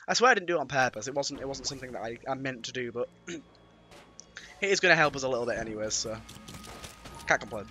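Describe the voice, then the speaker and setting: British male gaming YouTuber, bright slightly raspy voice with a cold, playful casual commentary over game music. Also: on the high side. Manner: playful, mildly sweary. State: has a cold. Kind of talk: casual let's-play commentary.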